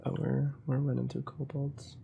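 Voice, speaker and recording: A young man with a cold, quietly commenting on his gameplay in a soft ASMR style, often slipping into whispers. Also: mid-range voice, completely flat delivery, normal speed, creaky, highs cut off, slight background noise. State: has a cold. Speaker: ASMR gaming channel host.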